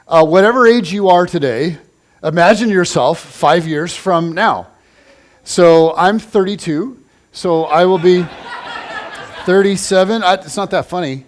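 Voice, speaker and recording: A man in his forties preaches in a church in a chatty, joking way. His voice sits fairly high for a man. He often restarts his sentences and teases the congregation as he goes. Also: a little slow. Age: 40s. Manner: conversational and joking, with many restarts. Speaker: pastor. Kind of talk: casual sermon.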